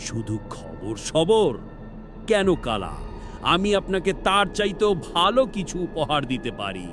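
A man plays a cocky demon henchman in a horror cartoon, high-voiced and eager to please his master. He swaggers and boasts, his pitch swinging widely over background music. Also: wildly swooping pitch, a little slow. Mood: smug. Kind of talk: animated horror fairy-tale acting. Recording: music under the voice.

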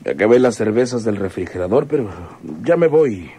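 Husky sleepy beer drinker. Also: male voice, lowish voice, expressive, a little fast, mellow. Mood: drowsy, sheepish. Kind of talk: radio drama acting.

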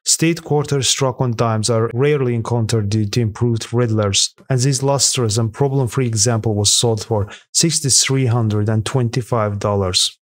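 Male narrator, mid-pitched, steady scripted read, dry close mic. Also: moderate pace, few pauses, no room sound. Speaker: narrator of a coin auction countdown.

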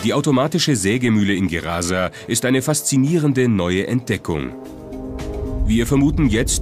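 A man reading scripted documentary narration. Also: lowish voice. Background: music.

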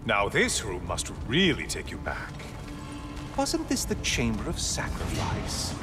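An actor playing a smug, theatrical sun god in a video game, with an oily tone and a sarcastic, taunting drawl. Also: male voice, lowish voice, huge pitch swings, unhurried, over music. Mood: gloating. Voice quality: slimy, oily tone.

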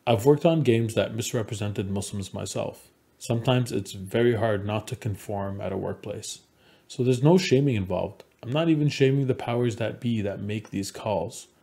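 A man in his forties from Montreal gives a prepared conference presentation in a measured, earnest storytelling manner. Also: fairly low pitch, creaky, warm tone. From Montreal, Canada. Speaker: conference speaker, game developer. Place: small indoor room at home.

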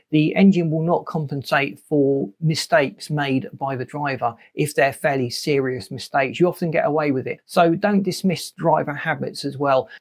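A man in his forties presenting an explanatory tutorial in a measured, methodical way. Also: fairly high pitch. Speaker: presenter of a car maintenance channel.

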